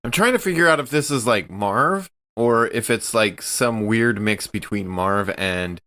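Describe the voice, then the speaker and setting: A man's voice: fairly low pitch, measured pace, pushed and bright. A man chatting casually as a player in a tabletop game. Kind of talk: casual chat.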